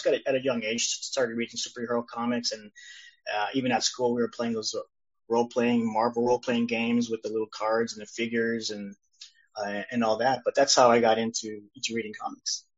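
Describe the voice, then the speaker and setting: A man, born in El Salvador and raised in California, answering interview questions in an easygoing, modest way with many pauses. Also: medium pitch, steady tone. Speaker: guest illustrator and publisher. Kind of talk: casual interview chat. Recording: dull lo-fi sound.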